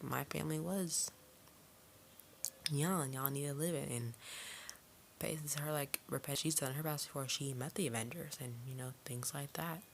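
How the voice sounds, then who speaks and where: Very low-voiced woman vlogging casually. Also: creaky, a little hiss or hum. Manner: informal, digressive, conversational. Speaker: video reviewer. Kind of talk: casual, rambling movie review vlog.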